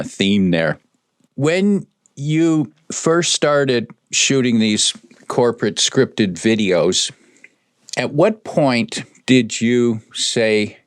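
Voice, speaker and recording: A man with a low voice who hosts the interview and asks thoughtful questions at a measured pace. His voice sounds dry and close to the mic. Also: clear, resonant tone, no room sound. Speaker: podcast host and presentation coach. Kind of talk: conversational interview.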